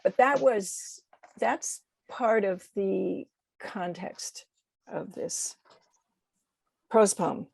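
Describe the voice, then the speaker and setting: A woman's voice: mid-range voice, creaky, a little mellow, slightly rough, no room sound. A woman poet speaking reflectively and a little hesitantly as a podcast guest, talking about literature and reading.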